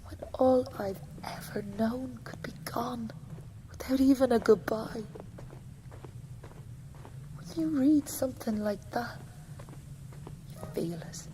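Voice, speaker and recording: A woman's voice: a little high, slow, pauses often, noisy background. A woman playing an anxious working-class young mother who fears for her husband, in an intimate audio drama monologue. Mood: anguished. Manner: hushed, breathy inner thoughts broken by spoken-aloud outbursts. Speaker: actor in a solo audio play.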